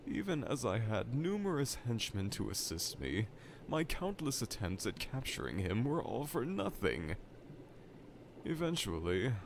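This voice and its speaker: Evil scientist for audio roleplay: vain, needy, melodramatic, very animated intonation, unhurried delivery, light lab ambience behind. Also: male voice, mid-range voice, a little hiss or hum. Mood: dejected, self-pitying. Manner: pompous, formal, melodramatic diction. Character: dejected middle-aged male evil scientist, theatrical, vain, needy. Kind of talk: fan audio roleplay monologue addressed to listener. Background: laboratory ambience.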